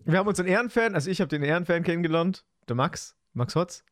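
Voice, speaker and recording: A young man with a fairly high voice co-hosts a podcast among friends. He speaks in loose, slangy, colloquial phrases, recorded close and dry with no room sound. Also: lively intonation, fast, fairly bright tone, dry recording. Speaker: podcast co-host. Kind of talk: casual chat among friends.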